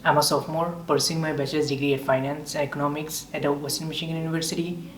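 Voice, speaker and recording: A twenty-one-year-old male student from Bahrain, introducing himself in a brisk, direct way. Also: a little high, level tone, fairly bright tone. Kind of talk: interview introduction. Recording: echoey room with ambient noise.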